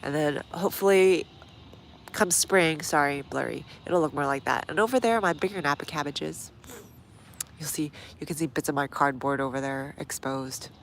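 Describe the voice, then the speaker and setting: A woman's voice: deep voice, normal speed, slight background noise. A woman with a stuffy nose hosting a casual gardening livestream outdoors, chatting to viewers as she works. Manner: chatty, reads viewer comments aloud while working.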